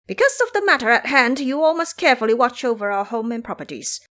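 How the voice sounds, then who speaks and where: A proud, hot-tempered monkey warrior, acted out by a woman for an audio drama. The delivery is boastful and declamatory, with pitch that swings widely from line to line. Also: fairly bright tone. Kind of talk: audio drama character acting.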